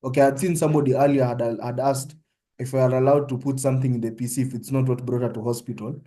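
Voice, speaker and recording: Young Kenyan male tutor, Kenyan accent, mellow voice, casual teaching that keeps checking in with listeners. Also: medium pitch, steady tone, fast talker. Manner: conversational, often checks in with "yeah?". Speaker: medical student tutor. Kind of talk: informal online tutorial.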